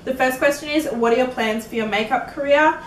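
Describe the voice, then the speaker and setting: A woman's voice: high voice, a little fast, echoey room, recorded from a distance, a little hiss or hum, low-quality recording. An eighteen-year-old woman answering viewer questions in a casual beauty vlog, chatty and giggly, with lots of ums and likes. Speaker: beauty vlogger.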